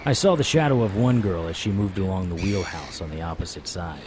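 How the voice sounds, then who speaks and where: An actor playing a weary, wry private investigator in a noir audio drama, terse and deadpan. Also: male voice, low voice, brisk, background noise, close to an everyday voice. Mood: grim, tense.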